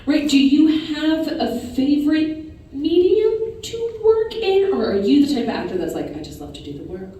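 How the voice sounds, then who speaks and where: A woman's voice: high voice, big pitch swings, moderate pace, warm tone. A woman moderating a convention panel, asking questions in a friendly, playful way. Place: convention center panel room. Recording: echoey distant room audio.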